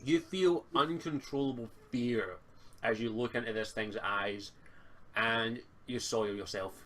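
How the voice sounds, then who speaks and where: A man with a Scottish accent improvising narration as game master, in a halting, informal way. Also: mid-range voice, a bit bright. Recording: faint noise and clicks behind voice.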